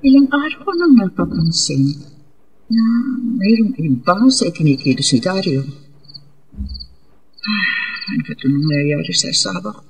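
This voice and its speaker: A woman's voice: low-pitched, slight background noise, exaggerated voice, low-quality recording. A woman playing an anxious, fearful wife and mother, hesitantly thinking aloud in a horror radio drama. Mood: worried.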